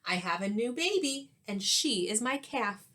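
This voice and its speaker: Woman reading as a playful riddling zoo animal, slow-paced. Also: on the high side, lively intonation, pushed and bright. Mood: gentle, playful. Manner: sing-song, measured. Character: zoo animals posing playful rhyming riddles about themselves. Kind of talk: children's storybook read-aloud.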